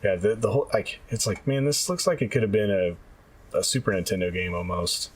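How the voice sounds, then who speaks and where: A man co-hosting a casual video game podcast, talking in a halting, thinking-aloud way. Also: fairly low pitch, husky. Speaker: podcast co-host. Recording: slightly distant from the mic.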